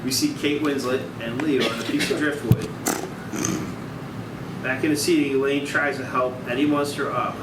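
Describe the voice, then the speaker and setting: Man narrating a script, echoey noisy room. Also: medium pitch. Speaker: narrator reading stage directions. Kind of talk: scripted narration at a screenplay table read. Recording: echoey room, distant mic, loud noise.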